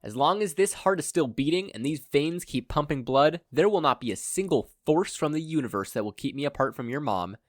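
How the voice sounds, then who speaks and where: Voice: mid-range voice, animated, a little fast, hardly any pauses, no echo, clean studio sound, pitched above the performer's own voice, natural voice. A performer voicing a bold, cocky, protective woman superhero with a confident, swaggering manner. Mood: resolute.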